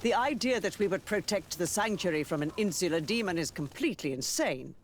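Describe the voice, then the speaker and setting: Proud, sceptical male church leader, high-pitched, swooping delivery, formal game drama. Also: lively intonation, fairly quick, a little hiss or hum. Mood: stately, resolved. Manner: formal, theatrical, probing. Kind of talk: video game cutscene dialogue acting.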